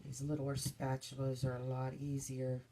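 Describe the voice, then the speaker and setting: A woman making soap, chatting through each step in a casual demonstration, her voice slightly stuffy and hoarse from a cold. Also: extremely low pitch, monotone, moderate pace, dark tone, a little hiss or hum. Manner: chatty, narrating each step as she works.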